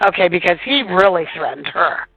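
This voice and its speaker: An American woman, a teacher, answering questions informally and emphatically over the phone in a gravelly, forceful voice. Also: fairly low pitch, big pitch swings, fairly quick, no echo. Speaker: teacher being interviewed. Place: on a telephone call. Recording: dull phone audio, faint music.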